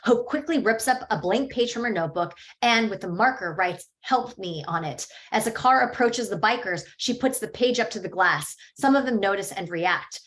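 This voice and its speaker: A woman reading stage directions at a table read in a plain, even way. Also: medium pitch.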